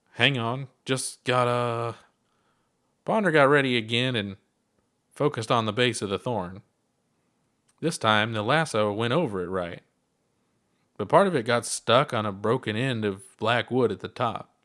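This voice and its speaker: A man narrating a story aloud in an expressive storybook style, as the author reading his own work. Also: medium pitch.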